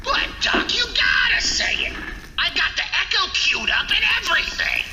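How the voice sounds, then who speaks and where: An excitable radio sidekick with a high, wheedling voice, acted by a woman. The pitch leaps all over and has some creak in it. It sounds echoey with music behind. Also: moderate pace, exaggerated voice. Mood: pleading.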